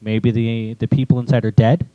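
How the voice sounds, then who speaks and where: A man's voice: medium pitch, level tone, normal speed, no room sound, muffled recording. A man talking informally and thinking aloud as he discusses his own writing with co-hosts. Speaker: writer and co-host presenting own chapter. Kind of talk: casual critique discussion.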